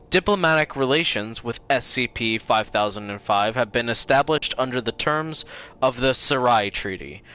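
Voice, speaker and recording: A man's voice: mid-range voice, pushed and bright, clean tone. A man hosting a game livestream, switching between casual chat and dramatic reading aloud. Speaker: livestream host playing games and reading aloud.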